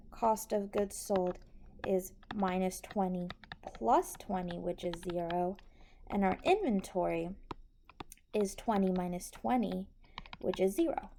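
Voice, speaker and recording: A woman's voice: medium pitch, lively intonation, unhurried, warm tone, slight background noise. A woman instructor patiently explaining a tutorial step by step, often checking that the listener is following. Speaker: accounting instructor.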